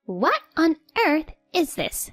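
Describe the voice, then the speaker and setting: A woman voicing a snobbish, scheming middle-aged mother-in-law with a shrill, haughty manner. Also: wildly swooping pitch, slow, fairly bright tone, dry recording, clean studio sound, faint music behind, heavily acted. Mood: scornful.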